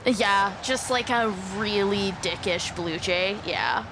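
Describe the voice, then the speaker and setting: A woman's voice: mid-range voice, slow pace, noisy background. A woman from Canada chatting casually and playfully as a player in a tabletop game.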